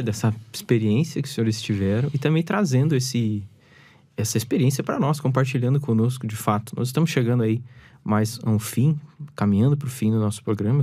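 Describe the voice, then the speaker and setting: A man's voice: lowish voice, warm tone. A Brazilian man hosting a church podcast, formally thanking his guests as the show closes. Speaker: podcast host.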